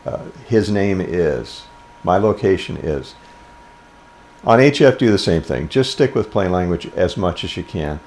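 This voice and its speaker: An older man giving an informal instructional talk about a hobby, speaking plainly and with deliberate phrasing. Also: sits low, expressive, darkish tone. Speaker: amateur radio presenter.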